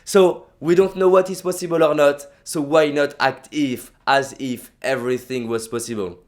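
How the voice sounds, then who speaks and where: A man's voice: on the high side, steady tone, moderate pace. A young man with a French accent, a native French speaker, giving an emphatic motivational vlog talk full of repetition and restarts. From France.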